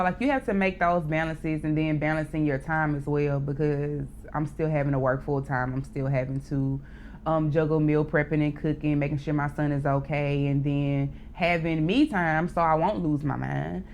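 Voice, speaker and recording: Deep-voiced young woman, candid. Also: a little hiss or hum. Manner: relaxed, candid storytelling with frequent "like" and "um". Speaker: interview guest, poet and rapper. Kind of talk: casual interview chat.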